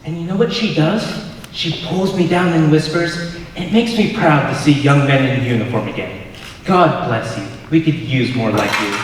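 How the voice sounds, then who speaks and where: A young man acting a proud, combative patriotic soldier in a high school stage play, with emphatic delivery. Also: moderate pace, natural voice. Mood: hearty, boastful. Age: young adult. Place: large school auditorium stage. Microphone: far camera. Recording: distant, echoey auditorium with faint noise.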